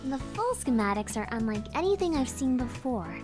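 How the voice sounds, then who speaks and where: A woman's voice: high voice, very animated, normal speed. An actress playing a brainy, eager young woman engineer in an anime visual novel. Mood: excited, proud. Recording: loud music behind the voice.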